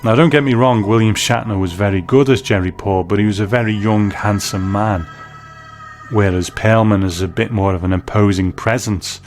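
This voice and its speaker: A man's voice: lowish voice, fairly even intonation, moderate pace. A man giving scripted review commentary as a narrator. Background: music bed.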